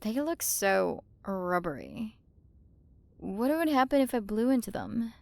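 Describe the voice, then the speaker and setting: A woman playing a haughty, curious alien galaxy queen in an ASMR roleplay, soft and condescending, possessive of her human. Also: medium pitch, very animated, measured pace, gravelly. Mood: curious, clinical. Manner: soft, condescending, teasing, at times whispered.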